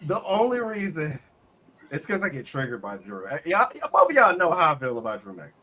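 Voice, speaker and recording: A man with a slightly raspy voice, co-hosting a casual wrestling review and talking in animated bursts with lots of repeated words. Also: on the high side, a little fast. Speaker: podcast co-host.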